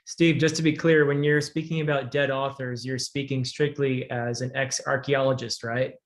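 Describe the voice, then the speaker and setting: A man takes part as a guest in a casual book discussion. His voice has a medium pitch. He speaks conversationally. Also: flat delivery, moderate pace. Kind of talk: casual chat.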